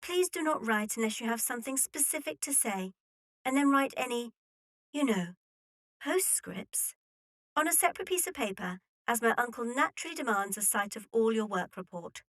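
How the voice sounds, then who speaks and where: A woman's voice: on the high side, animated, moderate pace, a bit bright. A woman playing a poised, decided young Victorian heiress reading a letter in an audio drama. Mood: polite, firm.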